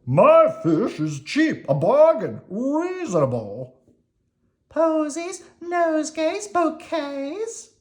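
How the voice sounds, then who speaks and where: Storybook market vendor, put on by a reader: eager, projecting, singsong, pitched well up. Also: wildly swooping pitch, takes it slowly, a little mellow, exaggerated voice. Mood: eager, hawking. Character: street vendors hawking wares in a storybook.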